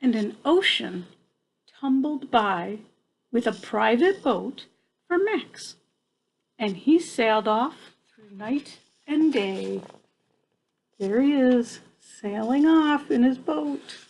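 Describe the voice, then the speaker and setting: Older woman reading slowly, higher-pitched voice. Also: animated, gravelly, darkish tone, rough recording. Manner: coaxing, checks in with young listeners. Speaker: grandmother reading a picture book to children. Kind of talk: read-aloud storytelling with chatty asides.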